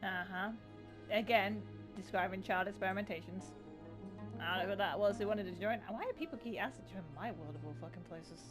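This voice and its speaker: A woman's voice: medium pitch, a little fast. A woman streaming a game with casual, blunt, sarcastic commentary and swearing, with game music behind her. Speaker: gaming streamer.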